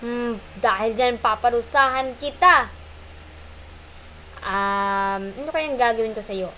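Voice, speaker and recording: A woman's voice: fairly high pitch, slow, bright, forceful tone, slight background noise, low-quality recording. A woman voicing a greedy, mean monkey in an amateur puppet show, blustering and threatening, whining when in trouble. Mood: angry, menacing.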